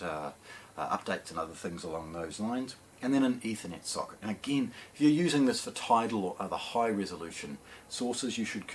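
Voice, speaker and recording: A man giving an unscripted product walkthrough as a shop presenter, explaining in a measured way and repeating words for emphasis. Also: fairly low pitch, darkish tone, slight background noise. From New Zealand.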